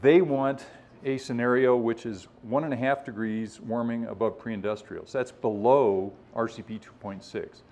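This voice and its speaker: A man's voice: medium pitch, steady tone, normal speed, darkish tone. A man presenting at a scientific workshop in an informal, conversational way, explaining things and voicing other people's positions as he goes.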